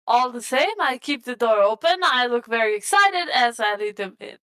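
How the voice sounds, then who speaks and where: Clear, higher-voiced woman at a roleplay game. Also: lively intonation, normal speed, fairly bright tone. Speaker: player in a tabletop roleplaying game. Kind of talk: casual roleplay, describing actions in first person.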